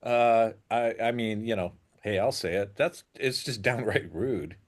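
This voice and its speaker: A man guest co-hosting a comedy podcast, teasing and laughing in casual chat, often trailing off softly. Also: measured pace. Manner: teasing, laughing, drifts soft and low. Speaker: guest co-host.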